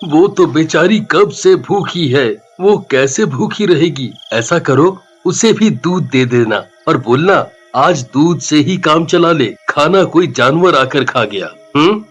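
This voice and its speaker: A man's voice: fairly high pitch, animated, slow, hardly any pauses, husky, faint music behind, exaggerated voice. A man voicing a kind husband in a cartoon story who worries about feeding others. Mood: concerned. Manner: gentle, concerned. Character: caring husband, kindly head of house.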